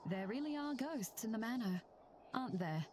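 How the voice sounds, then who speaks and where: A woman's voice: fairly high pitch, animated, normal speed, a little mellow, a little hiss or hum, close to an everyday voice. Woman acting an uneasy, polite manor guest. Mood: uneasy, puzzled. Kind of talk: mystery video game voice acting. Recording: clear background ambience with animal calls.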